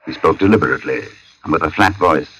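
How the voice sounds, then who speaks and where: An actor playing an uneasy artist who narrates an eerie tale in a brooding, deliberate 1940s radio suspense style. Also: male voice, extremely low pitch, bright, forceful tone, rough recording. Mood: uneasy, haunted. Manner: brooding, deliberate, halting when shaken. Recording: old radio recording with music behind.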